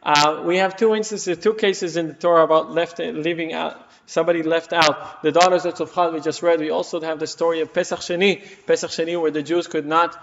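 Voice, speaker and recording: A man's voice: on the high side, fairly even intonation, gravelly, fairly bright tone. Hebrew-native man teaching in a reverberant hall. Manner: rapid, emphatic storytelling with restarts. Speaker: Torah class teacher. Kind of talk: explaining scripture to a class. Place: community hall. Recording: dull recording in a reverberant hall.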